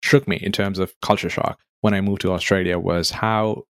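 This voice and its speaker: Low-voiced guy from nepal, podcast host, chatty, lots of "like" and "um", dry close mic. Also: steady tone, moderate pace, a bit bright, clear, resonant tone, dry recording. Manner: casual and conversational, with frequent "like" and "um" fillers. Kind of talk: podcast interview.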